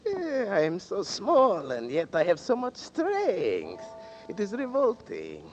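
A man's voice: high-pitched, huge pitch swings, slow, a little mellow, soft background music, heavily acted. An actor playing a small, sly male schemer who talks in a florid, mocking, formal way, in a 1940s radio drama. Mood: sly, amused. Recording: dull old radio broadcast.

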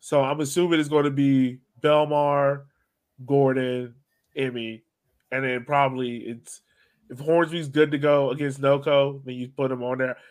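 A man's voice: medium pitch, level tone, measured pace, darkish tone. A man hosting a sports podcast, chatting casually and putting questions to his co-hosts in a conversational way. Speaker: podcast host. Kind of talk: casual soccer podcast chat.

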